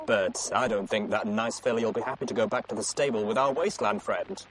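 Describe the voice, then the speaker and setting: A man's voice: fairly low pitch, fairly quick, noisy background. A man hosting a show, talking in a casual, slangy and colloquial way. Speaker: host. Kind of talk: casual show sign-off and commentary.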